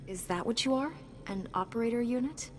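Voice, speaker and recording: An actress playing a calm, helpful female knight hero in a video game, composed and sincere. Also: fairly high pitch, brisk, slight background noise, close to an everyday voice. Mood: friendly, curious. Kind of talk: video game character acting.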